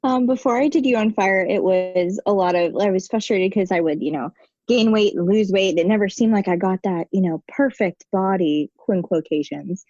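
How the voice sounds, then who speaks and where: A woman's voice: medium pitch, normal speed, dark tone, dry recording. A young American woman talks candidly as an interview guest, restarting her sentences as she goes. Her voice comes through a dull, lo-fi video call line. The sound is very dry. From United States. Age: 20s. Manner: candid, conversational with frequent restarts. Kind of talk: casual interview conversation.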